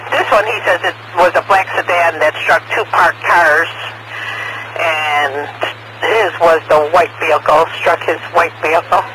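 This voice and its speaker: A man's voice: a little high, fairly even intonation, unhurried, pushed and bright. A man working as a police dispatcher, giving procedural, matter-of-fact calls over a radio. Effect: police radio transmission. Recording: noisy police scanner radio audio.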